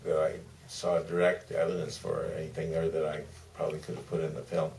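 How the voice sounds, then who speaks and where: An older man with a very deep voice answers questions on a panel. He proceeds hesitantly, pausing and wandering between thoughts. He is picked up a little way from the mic, with faint noise behind him. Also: monotone, vocal fry, darkish tone, distant mic, poor audio. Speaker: documentary director guest on a Q&A panel. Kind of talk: spontaneous panel discussion. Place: theater stage at a live Q&A.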